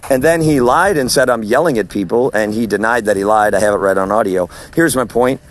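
A man, a street preacher with a loud voice, recording an audio message with a phone while walking outdoors at a farmer's market, speaking in a forceful preaching cadence. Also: fairly low pitch, a little fast.

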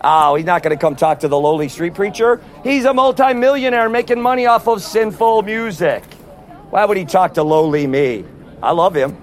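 A man preaching loudly on the street outside a concert, without an amplifier, calling out to passers-by as neighbors. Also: high voice, normal speed. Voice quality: loud voice. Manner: forceful, repeatedly addressing "neighbors". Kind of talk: loud outdoor street preaching.

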